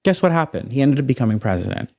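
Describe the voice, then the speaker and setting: Young Toronto man, North American accent, mid-pitched voice, self-assured podcast guest with emphatic storytelling. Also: big pitch swings, racing, a little mellow. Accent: North American English. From Toronto, Canada. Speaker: guest, space company founder. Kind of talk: casual podcast interview.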